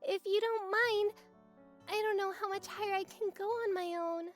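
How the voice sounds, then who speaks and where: A woman's voice: very high voice, fairly even intonation, moderate pace, soft background music, voice pushed up. A woman voicing a small, sensitive, apologetic crybaby girl who speaks in a hesitant, anxious way, in a visual novel reading. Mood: timid, worried.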